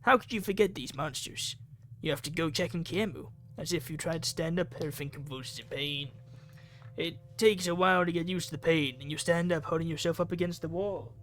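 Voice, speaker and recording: A man's voice: a little high, a little fast, fairly bright tone. A man reading a visual novel aloud for a gaming video in a dramatic, theatrical way. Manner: theatrical, acts out the dialogue.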